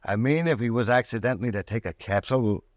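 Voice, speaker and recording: A man's voice: mid-range voice, fast, no echo, studio quality, close to an everyday voice. An actor playing a menacing gangster who bribes a clerk, speaking in slangy, cold tough-guy talk in 1940s radio acting.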